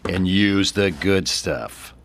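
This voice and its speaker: A man's voice: deep voice, takes it slowly, gravelly, a little hiss or hum, heavily acted. A male actor in a radio drama playing a cocky, menacing gangster with a sardonic, tough-guy way of talking. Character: homeless man possessed by a menacing, cocky dead gangster.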